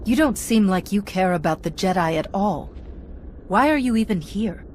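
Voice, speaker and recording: An actress plays a stern female commander, the hero of a video game. She speaks at a medium pitch with firm, no-nonsense directness. There is faint noise in the background. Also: animated, normal speed, a little mellow, close to an everyday voice. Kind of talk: video game cutscene acting.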